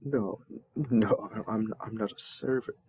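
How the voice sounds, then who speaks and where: A man plays a flustered royal envoy in an audio roleplay, low in pitch and very slow to get words out. He stammers politely and defers at every turn, in a close, dry recording. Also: a little mellow, slightly rough, no room sound. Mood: awkward, hesitant.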